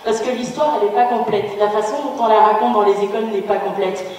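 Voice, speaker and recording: Woman, conference speaker, earnest and halting, heard from a distant mic in a large echoing parliament hall. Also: mid-range voice, fairly even intonation, a little mellow. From Belgium. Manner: earnest, searching, many restarts and fillers. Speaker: conference participant. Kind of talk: public speech at a conference. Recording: reverberant hall, distant mic, faint room noise.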